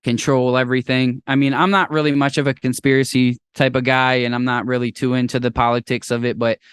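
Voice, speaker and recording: A young man hosting a podcast in casual chat, talking in an animated, rambling way with playful jumps into a high voice. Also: brisk, clean tone, dry recording. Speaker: podcast host.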